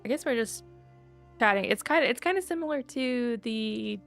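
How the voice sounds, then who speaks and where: A woman gaming streamer giving relaxed, musing, casual commentary as she plays. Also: a little high, moderate pace, vocal fry, soft background music. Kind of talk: casual game commentary and reading dialogue aloud.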